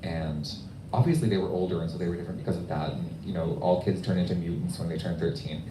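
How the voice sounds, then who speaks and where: A man speaking conversationally as an author at a question-and-answer event, thoughtful and halting with pauses. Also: low voice, fairly even intonation. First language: English. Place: bookstore event room. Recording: echoey room, distant mic, noisy.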